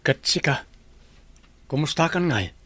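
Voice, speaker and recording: A man's voice: a little high, expressive, unhurried, bright, forceful tone, slightly rough, no room sound, full character voice. A man playing a humble, patient young jobless villager in a radio drama. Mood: earnest. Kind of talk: Ilocano radio drama acting.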